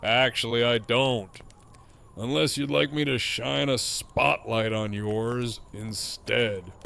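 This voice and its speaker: Man playing sardonic middle-aged villain, gravelly fry, taunting drawl, audio drama, faint noise behind. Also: mid-range voice, takes it slowly, bright, forceful tone, full character voice. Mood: taunting, amused. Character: mocking, foul-mouthed middle-aged male antagonist, gleefully needling.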